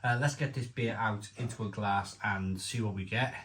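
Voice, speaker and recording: A man's voice: on the low side, normal speed, heavy background noise. A man from Wales, giving a chatty, rambling beer review to camera with frequent restarts and asides.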